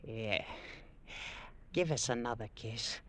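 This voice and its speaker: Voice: mid-range voice, slow pace, slight background noise, natural voice. A performer playing a plucky, cocky young British army drummer boy in an old-time radio drama, earnest and colloquial. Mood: tender, brave. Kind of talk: 1940s-50s radio drama acting.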